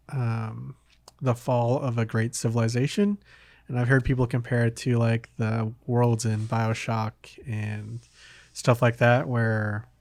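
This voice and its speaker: A man hosting a podcast, talking casually about games in a hesitant, halting way. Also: medium pitch, vocal fry, darkish tone, no room sound. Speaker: podcast host.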